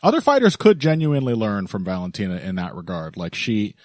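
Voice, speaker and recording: A man's voice: lowish voice, sing-song, a little fast, darkish tone, no room sound, clean studio sound. A male podcast co-host chatting casually and analytically about fights, teasing his co-host and laughing easily.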